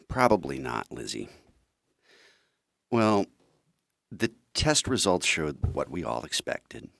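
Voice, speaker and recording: Very deep, gravelly doctor. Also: male voice, big pitch swings, a bit bright, no echo, heavily acted. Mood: grave, sympathetic. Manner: measured, sympathetic, grave. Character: somber, compassionate male doctor. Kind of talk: audio drama acting.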